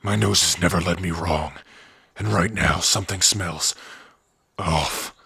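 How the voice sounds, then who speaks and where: Male actor as kind-hearted orc outcast for fantasy ASMR: low, forceful, gruff and raspy, wry and protective. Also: unhurried, dry recording, heavily acted. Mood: wary, hushed. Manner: easygoing, wry, addressing a companion directly. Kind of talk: ASMR fantasy roleplay acting.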